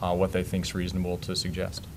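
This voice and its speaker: A man representing a local council, answering questions at a county meeting in a measured way with many fillers. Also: sits low, completely flat delivery, noisy background. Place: county board meeting room.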